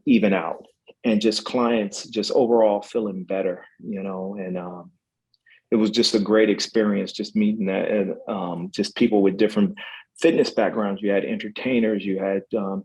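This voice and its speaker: A man trains clients and talks about it in a relaxed, halting way, full of ums and restarts. His voice is husky and somewhat low. He keeps a flat, even tone. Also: poor audio. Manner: hesitant, many fillers and restarts. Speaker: EMS trainer. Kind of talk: casual webinar talk.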